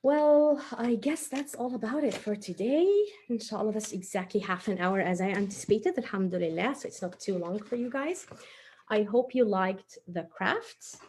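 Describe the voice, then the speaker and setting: A woman's voice: mid-range voice, moderate pace, darkish tone, slight background noise. A woman, perhaps in her thirties, hosts a casual craft session for children in a chatty, encouraging, self-deprecating way.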